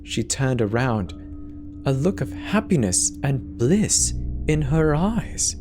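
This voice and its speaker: A man's voice: mid-range voice, sing-song, slow, music in the background. A man giving a dramatic scripted narration of a horror story.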